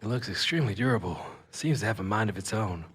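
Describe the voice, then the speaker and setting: A man's voice: fairly low pitch, lively intonation, fast talker, close to an everyday voice. A man voicing a flippant, rambling cyborg who narrates his own escape in an audio drama. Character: wisecracking male cyborg with a robotic tail, dazed and flippant. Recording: echoey, long reverb, noisy background.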